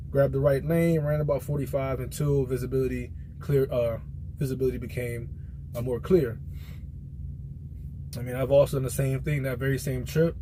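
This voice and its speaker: A man's voice: medium pitch, flat delivery, moderate pace, mellow, a little hiss or hum, rough recording. An American man who drives trucks, talking casually and informally in a rambling vlog, telling stories from experience. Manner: conversational storytelling, informal. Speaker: truck driver vlogger giving a product opinion.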